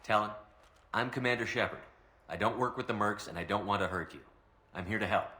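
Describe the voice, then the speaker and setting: An actor playing a steady, confident military commander in a video game, direct and calm with dry wit. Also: male voice, on the low side, brisk, roomy sound. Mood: reassuring, firm.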